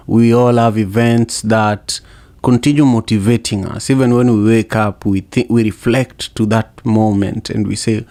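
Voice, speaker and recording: A man with a mid-pitched voice hosts a podcast interview. He talks in a reflective, conversational manner, as if thinking through his points while addressing his guest. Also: a little mellow. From Africa. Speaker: podcast host.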